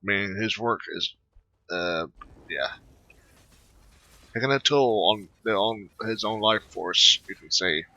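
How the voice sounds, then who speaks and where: A man giving casual, off-the-cuff gaming commentary in a halting, offhand, mumbly way. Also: lowish voice, sharp tone, no room sound. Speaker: gameplay commentator.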